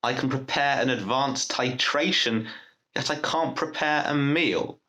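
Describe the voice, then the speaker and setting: Young guy reciting his poem, bright punchy voice, measured lines. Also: medium pitch. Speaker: poet reading own poem. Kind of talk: poetry recitation.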